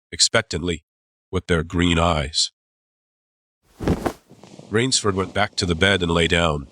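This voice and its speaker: A man narrating a dramatized audiobook as a storyteller, measured and suspenseful. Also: deep voice, pushed and bright.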